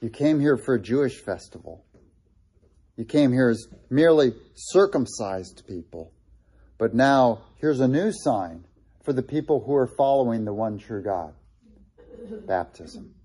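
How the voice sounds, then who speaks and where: Middle-aged male preacher, husky and warm-toned, chatty sermon delivery with asides to the congregation. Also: mid-range voice, lively intonation, a little slow. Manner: conversational, with frequent restarts and asides to the congregation. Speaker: pastor.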